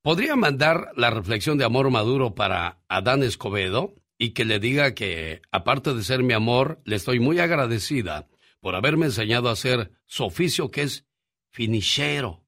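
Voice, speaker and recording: A man's voice: mid-range voice, normal speed, no room sound, studio quality. A man with a Mexican accent hosting a radio show, joking and teasing as he reads listener messages. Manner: joking, teasing, animated storyteller. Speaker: radio host. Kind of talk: comic radio banter, reading listener messages.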